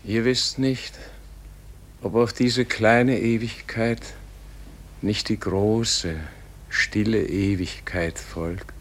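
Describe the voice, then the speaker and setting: Very slow, low-voiced dying sage. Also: male voice, steady tone. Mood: gentle. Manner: slow, contemplative, gentle. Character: dying Gandhi, serene weary man pleading for quiet. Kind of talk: solemn literary radio play acting.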